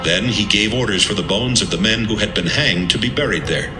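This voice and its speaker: A man narrating an audio Bible from a script in a measured, serious manner. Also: lowish voice. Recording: roomy sound with music underneath.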